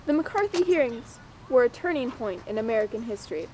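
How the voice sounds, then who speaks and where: Young female presenter, high voice, scripted mock-documentary read, slight noise behind. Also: expressive, brisk. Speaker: presenter and interviewer.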